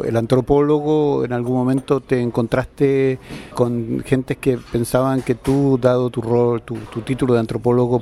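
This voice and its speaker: A man's voice: mid-range voice, warm tone. A man interviewing a writer, framing long, carefully built questions before asking them.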